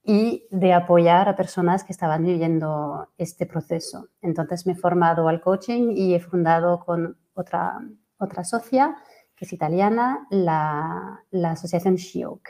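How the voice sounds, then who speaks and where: French-accented woman in her 40s, mellow low voice, hesitant webinar coach. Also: unhurried. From France. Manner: hesitant, many fillers and restarts. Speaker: fertility coach. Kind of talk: webinar presentation.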